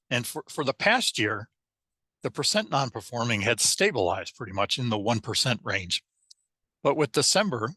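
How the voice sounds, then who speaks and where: A man presenting slides in a webinar, hoarse and losing his voice, halting with restarts and fillers. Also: medium pitch, sharp tone, dry recording.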